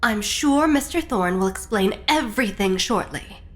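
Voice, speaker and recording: Audio drama: actress as gracious manor lady, polished manners, animated melody. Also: medium pitch, moderate pace, natural voice. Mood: gracious, apologetic. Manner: courteous, smoothing, refined. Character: gracious, polished hostess-like lady dinner guest.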